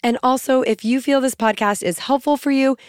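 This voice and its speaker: A woman in her thirties hosting a podcast, talking in an earnest, encouraging way with a scratchy, gruff voice and a stuffy nose from a cold. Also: high voice, brisk, dry recording, studio quality. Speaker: podcast host. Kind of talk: motivational podcast talk.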